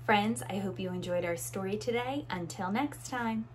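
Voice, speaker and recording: A woman in her thirties reading a picture book aloud to young children, with deliberate, expressive phrasing. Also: mid-range voice, a little hiss or hum, rough recording. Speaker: preschool teacher, story time host. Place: small room indoors with bookshelves.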